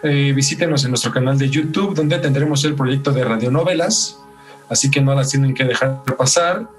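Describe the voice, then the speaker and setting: A man's voice: medium pitch, moderate pace, recorded from a distance, soft background music. A man with a Mexican accent hosting a podcast and narrating true-crime stories from a script. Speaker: podcast host.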